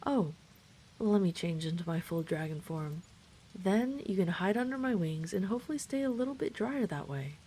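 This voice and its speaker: A woman playing a gentle, caring dragon friend in an intimate roleplay audio drama, speaking in a reassuring, considerate, slightly hesitant way. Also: on the low side, expressive, moderate pace, slight background noise, natural voice.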